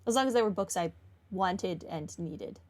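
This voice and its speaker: A woman's voice: fairly low pitch, expressive, normal speed. A woman gaming streamer giving casual, wry, informal commentary on a playthrough. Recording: game music behind voice.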